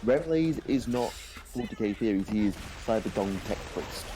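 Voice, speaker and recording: A man from northern England with a fairly neutral, softened northern accent, in casual chat. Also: fairly low pitch, expressive, moderate pace, noisy background. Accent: softened Northern English. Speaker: YouTuber and voice actor.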